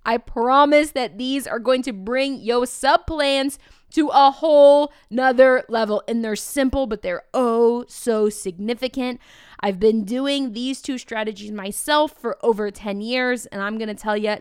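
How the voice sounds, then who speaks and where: A woman hosting a podcast for teachers, talking in an energetic, chatty, enthusiastic way. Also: a little high, measured pace, smooth, no room sound, clean studio sound. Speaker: podcast host. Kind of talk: upbeat podcast talk to teachers.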